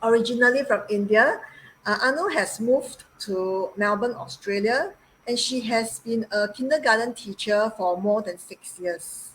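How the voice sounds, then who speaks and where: A woman's voice: on the high side, measured pace, slight background noise, rough recording. Woman from Singapore, forties, host, upbeat and effusive, frequent fillers. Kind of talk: live-stream interview.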